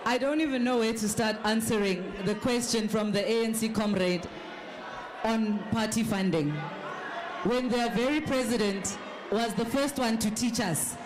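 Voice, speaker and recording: A South African woman giving an emphatic political speech at a town hall, pressing her points with rhetorical questions. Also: a little high. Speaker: political party representative on a panel. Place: large hall at a public town hall. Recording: noisy hall with loud crowd. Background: audience noise and chatter.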